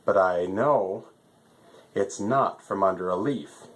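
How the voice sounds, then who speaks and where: A man voicing an excitable, eager, mocking lion in a children's storybook. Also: low-pitched, slow pace. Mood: unsure.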